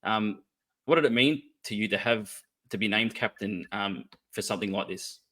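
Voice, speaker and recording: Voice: medium pitch, moderate pace, bright, forceful tone. A podcast host in casual interview chat who laughs along and asks questions, often starting with fillers.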